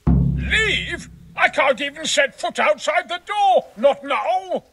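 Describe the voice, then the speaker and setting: A man's voice: very high-pitched, animated, slow pace, gravelly, pushed and bright, soft background music, full character voice. A man playing a frightened, paranoid nobleman in a video game, pleading and dramatic as if hunted. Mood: panicked. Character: frightened, paranoid nobleman hiding from would-be assassins. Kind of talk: video game cutscene acting.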